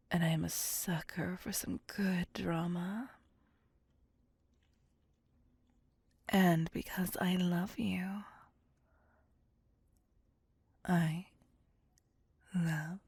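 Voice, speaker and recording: A woman acts out a seductive female vampire who dotes and threatens in the same breath. The voice is fairly low and husky, mostly a breathy whisper, in a very dry, intimate recording. It is teasing, theatrical ASMR roleplay, possessive and menacing. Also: a little mellow, no room sound, exaggerated voice. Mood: adoring, teasing.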